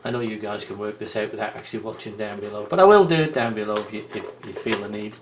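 A man's voice: lowish voice, quick pace, slight background noise. A man in his fifties, hosting a casual bushcraft tip from his home office webcam, chatty and folksy, muttering as he carves. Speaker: bushcraft channel host. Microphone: webcam on desk.

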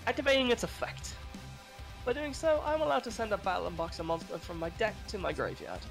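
A man's voice: high voice, animated, a little fast, vocal fry, fairly bright tone, faint music behind. A young man announcing his moves as a player in a card-game roleplay.

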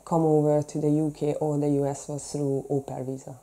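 A middle-aged woman with a Hungarian accent recounts memories in an interview, acting out bits of speech as she goes. Her voice is low and very dark and soft in tone. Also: level tone, a little fast. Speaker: documentary interviewee.

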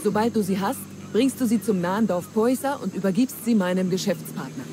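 An actress playing a wily, flattering pirate treasure hunter in a dramatic German game dub, with theatrical, coaxing delivery. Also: female voice, mid-range voice, fairly quick, natural voice. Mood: hopeful. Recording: clear ambient noise.

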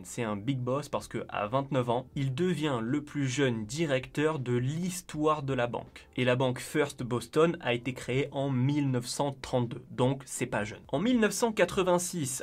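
A man hosting a finance channel, narrating a casual explainer with chatty, joking asides. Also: medium pitch. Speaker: finance YouTuber.

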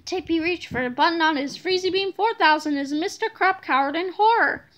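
A woman's voice: extremely high pitch, a little fast, fairly bright tone, highs cut off. A woman reading a children's storybook aloud, recorded at home on a handheld device. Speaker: storybook reader. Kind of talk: children's book read-aloud.

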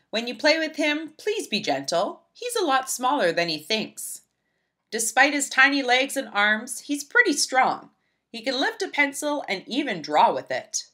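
Lady reading a picture book to kids, bright crisp tone, super animated, kinda high voice. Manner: animated, emphatic, storybook delivery. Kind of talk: expressive children's read-aloud narration.

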